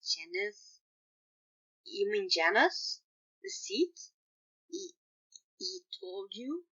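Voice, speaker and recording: Woman voicing a hesitant, caring young man, bright-toned. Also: mid-range voice, very animated, very slow, breathy edge, highs cut off, heavily acted. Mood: confused. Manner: hesitant, questioning.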